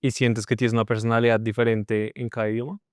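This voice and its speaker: A man hosting a podcast interview, asking measured, curious questions. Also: mid-range voice, little pitch movement, smooth, dry recording, studio quality.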